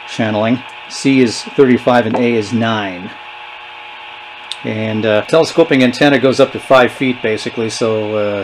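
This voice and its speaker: A middle-aged man gives a casual, unscripted product demonstration, haltingly thinking aloud as he goes. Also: medium pitch, fairly bright tone, slight background noise, low-quality recording. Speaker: presenter of a vintage electronics channel. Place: indoors, small tabletop product-shooting setup.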